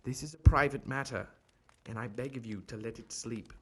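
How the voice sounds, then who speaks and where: A man acting in an audio drama as a genial but guarded Victorian gentleman doctor, speaking in formal, courteous, earnest phrases. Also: medium pitch, normal speed, slightly rough. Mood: grateful, reassuring.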